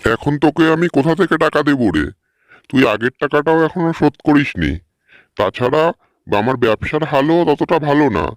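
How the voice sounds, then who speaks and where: A man's voice: on the high side, lively intonation, normal speed, no echo, close to an everyday voice. A man voicing a stern, stingy village moneylender in a cartoon, scolding and dismissive.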